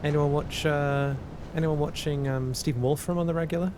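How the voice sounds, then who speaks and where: A man streaming casually, answering chat and working through math aloud in a halting way that repeats words. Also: steady tone, darkish tone. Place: cold indoor room. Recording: noisy with a low rumble.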